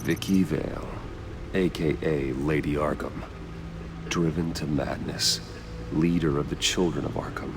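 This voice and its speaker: A guarded game vigilante, super low and gravelly, terse broody delivery. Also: male voice, fairly even intonation, measured pace, noisy background. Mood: brooding. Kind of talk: video game voice acting. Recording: music behind the voice.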